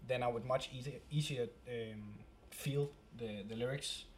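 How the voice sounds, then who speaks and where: A man's voice: medium pitch, fairly even intonation, a little slow, slight background noise. A man with a Danish accent, the band's singer, chatting casually in English and sometimes searching for words. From Denmark. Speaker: band member, singer.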